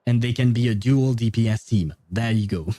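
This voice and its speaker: A man's voice: mid-range voice, moderate pace, warm tone, no room sound. A man rambling casually on a game livestream, thinking aloud as he answers his viewers.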